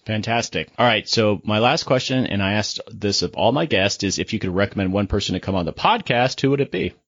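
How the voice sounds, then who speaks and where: A man's voice: lowish voice, expressive, a little fast, fairly bright tone, muffled recording. A man hosting a podcast interview, upbeat and chatty, often saying "all right" between questions. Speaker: podcast host. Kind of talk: casual interview chat.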